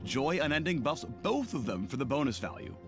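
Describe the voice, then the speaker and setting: A man's voice: mid-range voice, very animated, fairly quick. A man reading a scripted video game explainer as narrator, in a polished and playful manner. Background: music.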